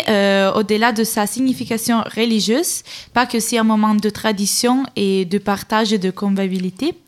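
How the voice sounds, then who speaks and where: Young italian lady hosting a radio show, second-language speaker, bit halting, normal pitch. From Turin, Italy. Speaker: radio host, European volunteer.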